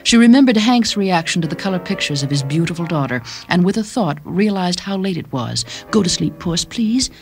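Low-voiced woman reading a story over music. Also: animated, fairly quick, hardly any pauses, a little mellow. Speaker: narrator and reader of a short story. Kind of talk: dramatic audiobook reading with character voices. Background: background music.

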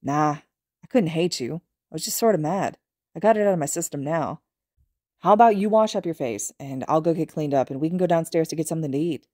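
A woman's voice: deep voice, expressive, a little fast, warm tone, dry recording, studio quality. A woman reading as a blunt, loyal teenage boy. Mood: gentle. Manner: scolding, earnest.

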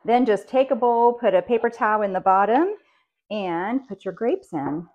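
A woman's voice: mid-range voice, lively intonation, moderate pace, dull audio. A woman, chatting practically as she preps food in her home kitchen. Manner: friendly, practical, talks while working. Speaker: cooking channel host. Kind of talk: casual kitchen how-to.

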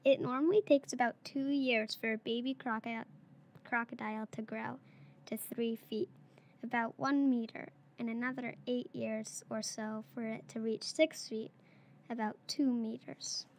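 A woman's voice: fairly high pitch, fairly even intonation, measured pace. A girl of about eight or nine, a third-grade pupil, reading a picture book aloud. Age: child, around eight or nine.

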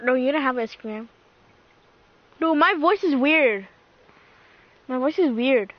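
A woman's voice: high voice, animated, unhurried, highs cut off. A young woman chatting casually while streaming a video game with a friend, giggling, teasing and repeating herself. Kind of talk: casual gaming chat.

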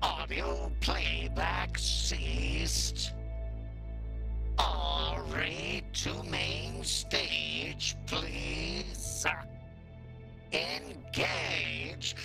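A heavily creaky, very slow computer voice, making courteous procedural announcements over loud music. It sounds like a space station's machine in an audio drama. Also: male voice, a little high, very animated, heavily acted.

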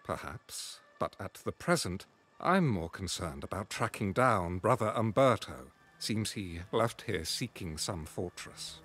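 An actor voicing a courteous, self-assured knight in a fantasy game, speaking formally and with measured gallantry. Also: male voice, low voice, very animated, a bit bright, breathy edge, faint music behind, full character voice. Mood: purposeful, reassuring. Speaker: game character.

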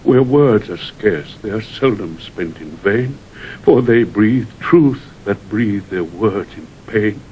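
A man's voice: lively intonation, slow, darkish tone, low-quality recording. An actor playing a dying old nobleman, solemn and prophetic, declaiming weighty Shakespearean verse in an audio drama. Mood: weary, solemn. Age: older. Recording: vintage 1960 recording with faint rumble.

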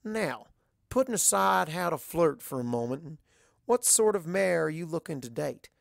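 A frank, coaxing mare pony from a storybook, as put on by a narrator. The voice is folksy and pushy with a gravelly edge, affectionate underneath, and recorded very dry. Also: mid-range voice, animated, normal speed, dry recording, voice pushed up.

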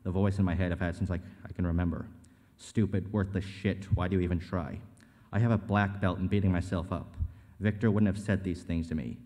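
Man reading as a bitter, weary grieving man, low-voiced. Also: flat delivery, fast, dark tone, close to an everyday voice. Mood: defiant, wounded. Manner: sardonic, self-loathing. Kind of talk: staged script reading. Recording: echoey hall, long reverb.

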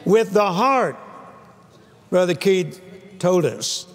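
A man's voice: sits high, lively intonation, slow. An older man preaching a sermon to a live audience on a church stage, emphatic and repeating key phrases, often calling for amens. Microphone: lapel mic. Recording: long hall reverb.

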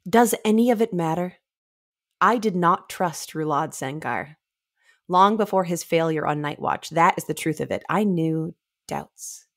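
A young woman co-hosting a podcast, chatting casually about books and reading passages aloud into a close microphone. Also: fairly low pitch. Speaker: podcast co-host. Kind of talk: casual book discussion with read-aloud passages. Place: indoor room, remote video call. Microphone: close studio microphone.

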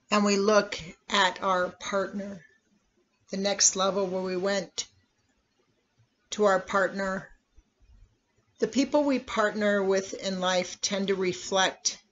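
A meditation guide speaking in a measured, instructional way with long pauses between phrases. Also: mid-range voice, a bit bright. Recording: dull, lo-fi recording.

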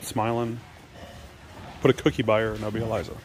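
A man's voice: lowish voice, level tone, a little fast. A man casually chatting as a folksy vlogger while browsing an auction hall. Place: large indoor auction hall. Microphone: handheld camera or phone. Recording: noisy hall, handheld camera audio. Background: clear hall noise.

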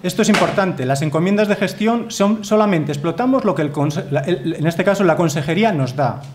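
Male presenter, 30s, Spanish accent, slightly high voice, earnest and emphatic lectern delivery. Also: expressive, a little fast, a little hiss or hum. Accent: Spanish from Spain. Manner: earnest, emphatic, frequent tag questions. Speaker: conference speaker. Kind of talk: formal conference talk with slides. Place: wood-panelled lecture hall.

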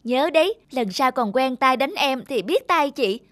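A woman's voice: high-pitched, animated, a little slow, no echo. A woman voicing a bossy big-sister teacup girl in a children's cartoon, feisty but easily scared. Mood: scolding.

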